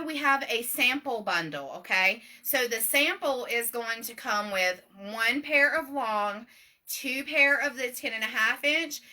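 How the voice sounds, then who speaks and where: Middle-aged Southern woman, shop owner hosting a live demo; loud, fast and chatty, with a very bright, high voice. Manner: loud, fast and chatty, with many ums and okays. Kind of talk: casual live product demonstration.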